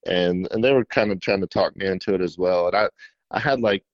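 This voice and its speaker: Shy low-voiced man, halting and flat. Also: no echo, highs cut off. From middle Tennessee. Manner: shy, self-described monotone, halting with fillers. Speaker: fishing YouTuber guest. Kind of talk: casual interview.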